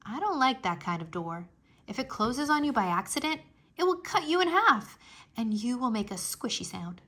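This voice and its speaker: A woman voicing an outspoken, worried kindergarten girl telling her own story in an expressive, childlike read-aloud. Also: a little high, moderate pace, voice pushed up, full character voice. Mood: emphatic.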